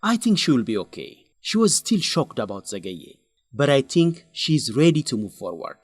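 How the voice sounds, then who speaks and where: An actor playing an earnest, principled young man who urges his friend in a radio drama, with an animated, scolding manner. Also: medium pitch, normal speed, slightly rough, no echo. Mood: teasing, friendly. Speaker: friend and fellow researcher.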